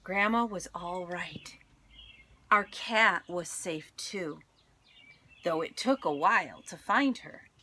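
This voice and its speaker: A woman teacher reading a children's story aloud to students, expressive and deliberate, with dramatic pauses. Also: lowish voice, a little hiss or hum.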